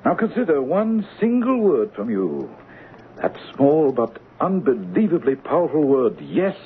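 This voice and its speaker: An actor playing a persuasive swindler with a theatrical way of talking in a 1970s radio drama. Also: male voice, a little high, very animated, unhurried. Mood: smooth, persuasive. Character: smooth-talking swindler, persuasive, grandiose schemer. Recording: noisy, tinny 1970s radio recording.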